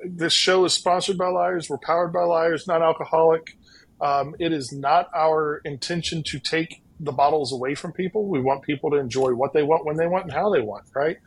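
A man's voice: a little high, moderate pace, breathy edge, no echo, rough recording. A man hosting a podcast interview, upbeat and friendly, talking with many fillers. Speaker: podcast host.

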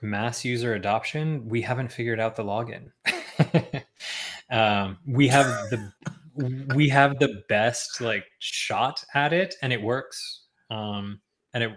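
A young man talking as a guest on a podcast, relaxed, with lots of ums and likes and frequent chuckles. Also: on the low side. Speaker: podcast guest, tech founder. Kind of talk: casual interview chat.

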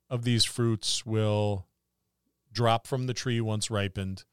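A man co-hosts a casual gaming podcast in a smooth, clean voice. He muses and jokes as he goes. The sound is dry, with hardly any room on it. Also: on the low side, no echo. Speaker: podcast co-host. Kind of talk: casual tabletop gaming discussion.

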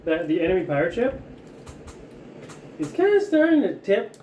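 A man's voice: high voice, sing-song, normal speed, a little mellow, far from the mic, slight background noise. A man running a tabletop role-playing game as game master, describing the scene to the players in a laid-back way. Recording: roomy, from off mic.

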